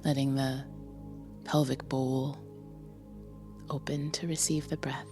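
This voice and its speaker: A woman leading a guided meditation with a soft, breathy voice, gently instructing in short phrases with pauses between them. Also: a bass voice, level tone. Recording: music behind the voice. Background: music.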